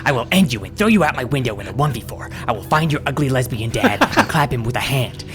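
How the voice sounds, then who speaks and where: A man voicing a furious twelve-year-old gamer boy hurling childish insults in a shrill rant. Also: expressive, fast, over music, close to an everyday voice.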